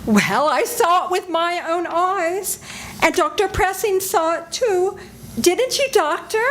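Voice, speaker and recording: A woman's voice: very high voice, animated, slow pace, a bit bright, a little hiss or hum, full character voice, low-quality recording. An older woman playing a frightened woman on a lonely island in an amateur old-time radio melodrama, anxious and close to tears. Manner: breathless, anxious, near tears.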